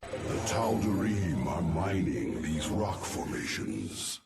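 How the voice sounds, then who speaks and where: Slow deep alien warrior elder from a game, super solemn, loud music behind him. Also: male voice, big pitch swings, creaky, full character voice. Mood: solemn, foreboding. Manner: solemn, portentous, formal. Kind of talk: video game voice acting.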